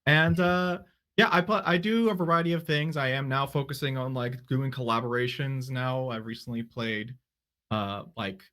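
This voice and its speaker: A man's voice: medium pitch, fairly even intonation, normal speed, a bit bright. Casual, rambling male panelist. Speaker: panelist, drag king streamer.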